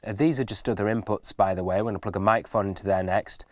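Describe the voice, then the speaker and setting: A man's voice: lowish voice, steady tone, quick pace, no room sound. Man giving a casual tutorial, dry sound. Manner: informal, patient step-by-step explaining. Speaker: instructor. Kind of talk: casual spoken hands-on tutorial.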